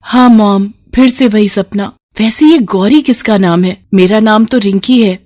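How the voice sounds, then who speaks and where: A woman's voice: mid-range voice, a little slow, darkish tone, pitched above the performer's own voice, natural voice. A woman voicing an arrogant rich young woman in a story, haughty and bossy. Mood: puzzled.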